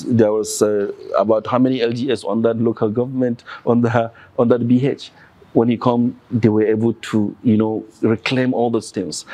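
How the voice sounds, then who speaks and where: A man's voice: mid-range voice, mellow, dry recording. A man with a Nigerian accent, a podcast guest talking earnestly and listing examples one after another. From northern Nigeria. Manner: earnest, lists examples insistently. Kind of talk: conversational interview.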